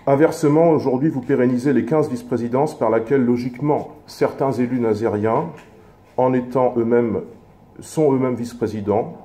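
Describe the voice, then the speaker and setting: A man speaking as an opposition councillor in a formal political speech, measured, with halting, self-corrected phrasing. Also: medium pitch, warm tone.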